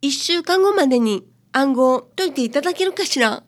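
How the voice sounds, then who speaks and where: A woman's voice: high-pitched, expressive, moderate pace. A woman playing a sexy, ladylike, coquettish client in a radio drama. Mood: coy, polite.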